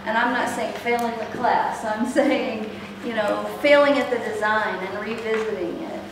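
A woman's voice: a little high, fairly even intonation, normal speed. A middle-aged woman leading an interactive workshop, speaking with frequent ums and checking in with the room. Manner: upbeat, many ums, asks the room questions. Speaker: workshop instructor. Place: large meeting room with projector. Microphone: far camera, no mic. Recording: echoey room, distant, noisy.

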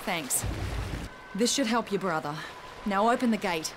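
A woman's voice: mid-range voice, expressive, measured pace, noisy background, natural voice. Woman playing a tough, impatient survivor. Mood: urgent, businesslike. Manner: blunt, direct, exasperated. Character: tough, foul-mouthed, impatient female survivor. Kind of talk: video game cutscene acting.

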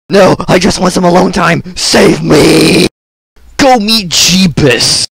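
A man's voice: high voice, very slow, gravelly, a bit bright, slightly rough, no echo. A man performing comedic game voice lines in exaggerated character voices, theatrical and prone to outbursts.

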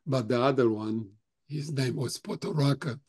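An older man whose first language is German, slowly answering questions at a remembrance talk with many halting pauses. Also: mid-range voice, a little mellow. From Europe; grew up speaking German, Russian, Romanian. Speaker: Holocaust survivor guest speaker.

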